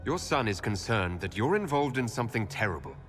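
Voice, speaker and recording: Determined hero, animated delivery. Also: male voice, medium pitch, fairly quick. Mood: defiant. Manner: earnest, direct. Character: defiant, determined heroic envoy of fantasy army. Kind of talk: dramatic video game acting. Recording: long hall reverb, music behind.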